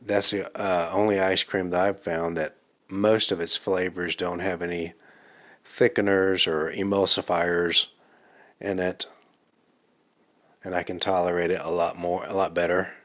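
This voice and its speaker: A man talks to the camera alone in his living room at night, his voice a little raspy. He speaks slowly and haltingly, with plenty of ums and uhs. His low voice has a bright, forceful edge. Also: flat delivery. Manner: slow, halting, thinking aloud with many fillers. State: slightly raspy from fasting. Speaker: vlogger. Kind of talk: casual vlog monologue.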